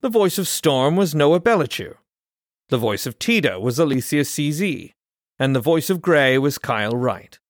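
A man's voice: on the high side, big pitch swings, normal speed, no room sound, clean studio sound. A man reading scripted credits as an announcer.

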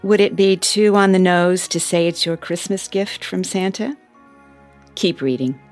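An actress playing a patient, caring elf personnel boss in an audio play, measured and gently wry. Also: female voice, on the low side, soft background music, close to an everyday voice. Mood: gentle, knowing.

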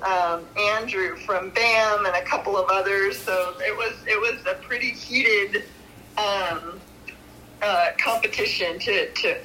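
A woman's voice: mid-range voice, bright, forceful tone, slight background noise. A woman giving casual, conversational interview answers, recorded with a thin, phone-like sound. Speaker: interviewed local arts lover.

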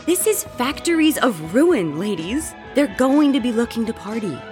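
A woman playing an excitable, bold young roommate who spins gossip dramatically in a horror audio drama. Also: fairly high pitch, moderate pace, over music. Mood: thrilled, scheming. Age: young adult. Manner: animated, teasing, dramatic storytelling.

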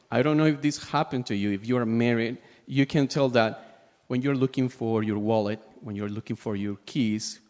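Peruvian preacher in a reverberant hall. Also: male voice, mid-range voice, darkish tone. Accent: Peruvian Spanish. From Peru. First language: Spanish. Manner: halting, searching for words in a second language. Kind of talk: sermon.

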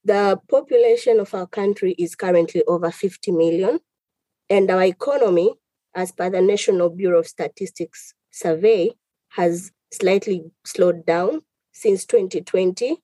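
Woman, from Tanzania, lawyer, podcast guest, measured explainer, warm dark tone. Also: lowish voice, no room sound. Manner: measured, explanatory, lists items. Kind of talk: podcast interview answers.

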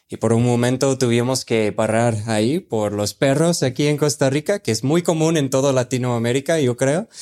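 A man's voice: mid-range voice, a little mellow. A man hosting a casual conversation, upbeat and encouraging, asking about words and reacting briefly.

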